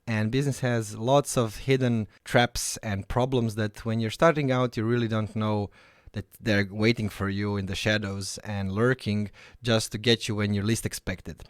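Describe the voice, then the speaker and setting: A man in his thirties from Croatia speaks with a Croatian accent in an informal founder's talk to camera. His voice sits at an average male pitch, and the recording is dry with very little room sound. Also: no room sound. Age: 30s. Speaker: company founder and CEO.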